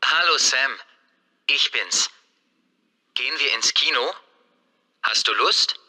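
A casual friend making plans, his voice bright and his pace very slow. Every word comes out deliberate and clear. A long reverb trails behind him. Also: medium pitch, expressive, full character voice. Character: casual adult man chatting with a friend.

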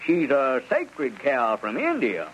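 A man's voice: medium pitch, wildly swooping pitch, slow pace, a bit bright, slight background noise, full character voice. Old radio comedy, a boastful squire, showman swagger, big swoops, muffled recording. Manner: pompous, hesitant hemming, then grandiose showman. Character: smooth-talking, boastful con-man country squire. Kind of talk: 1930s-40s radio comedy acting.

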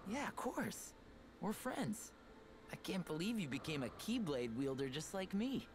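An actor playing an earnest teenage boy hero in a video game, gentle, soft and sincere. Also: high-pitched, very animated, unhurried, noisy background.

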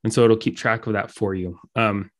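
A man with a dry throat from a cold, explaining code during a live screen-share talk, with many pauses and restarts. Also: on the low side, fairly even intonation. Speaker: meetup speaker.

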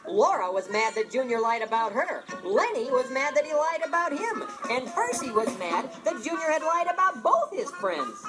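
A woman reading a scripted children's story as narrator, expressive and dramatic. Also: high-pitched, moderate pace, few pauses, low-quality recording. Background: music.

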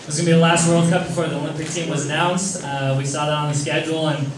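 A man's voice: a little high, steady tone, fast talker. Upbeat young man in an echoey hall. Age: young adult. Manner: upbeat, easygoing. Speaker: athlete on a press panel. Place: large echoey indoor hall. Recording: echoey hall recorded from far off, noisy.

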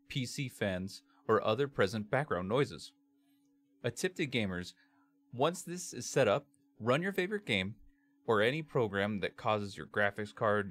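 Male tutorial presenter, bass-heavy and fairly low, reading out instructions step by step at a measured delivery. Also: a bit bright. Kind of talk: scripted software tutorial narration. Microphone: computer microphone, screen-capture voice-over.

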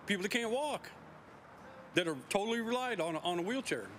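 Outraged middle-aged man, lively high voice. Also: brisk, slight background noise. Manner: outraged, emphatic. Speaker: shelter worker. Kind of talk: news interview. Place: outdoors by a brick building.

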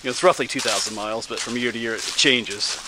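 A middle-pitched American man talks casually to his camera as he hikes. He rambles in a folksy, thinking-aloud way. The outdoor recording carries clear background noise. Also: fast. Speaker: hiking vlogger and teacher. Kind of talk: casual chat while walking a trail. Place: outdoors on a wooded trail.

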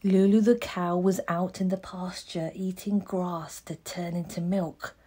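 A woman narrating a children's picture book as a read-aloud, expressive and measured, with pauses. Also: on the low side, slightly rough. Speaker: audiobook narrator.

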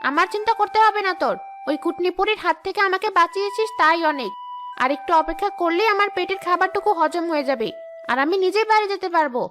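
A woman's voice: very high voice, lively intonation, fast talker, fairly bright tone, faint music behind. A woman voicing a brash, greedy village ghost in a cartoon, with a blunt, grumbling, boastful manner. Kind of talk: animated cartoon dialogue.

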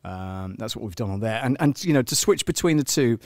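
A man in his fifties giving a casual live software tutorial, chatty and halting as he thinks aloud, on a close studio microphone. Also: mid-range voice, lively intonation, dry recording. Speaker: live-streaming audio producer demonstrating software. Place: small home studio indoors.

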